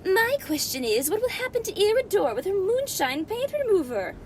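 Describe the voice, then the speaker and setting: A woman playing a blunt, sharp-tongued lady investigator with morbid zest in an audio drama, in a teasing manner. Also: very high voice, big pitch swings, normal speed, a little hiss or hum. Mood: catty.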